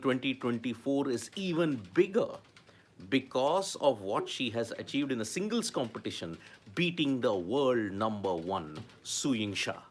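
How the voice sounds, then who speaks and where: A man's voice: medium pitch, slight background noise. A man with an Indian English accent presenting a sports news roundup, stressing key words and repeating them for emphasis.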